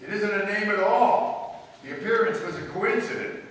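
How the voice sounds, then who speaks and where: A man acting a confident, sharp detective explaining a solution in a live old-time radio play. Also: high-pitched, slight background noise. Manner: brisk, explaining, self-assured. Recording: echoey hall, mic heard from far.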